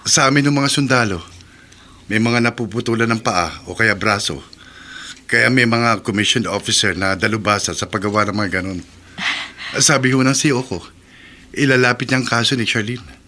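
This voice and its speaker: An earnest young soldier. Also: male voice, fairly low pitch, normal speed, long pauses, pushed and bright. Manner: respectful, earnest. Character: polite young male soldier. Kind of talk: radio drama acting.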